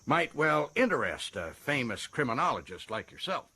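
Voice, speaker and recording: An actor playing a folksy, self-important American prison governor in a radio drama, chatty and stammering with excitement. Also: male voice, medium pitch, normal speed, breathy edge, full character voice. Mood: chagrined, eager.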